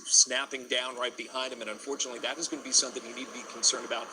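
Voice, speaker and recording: A man's voice: mid-range voice, level tone, racing, background noise. A man with an American accent anchoring live breaking news in an unscripted, explanatory way.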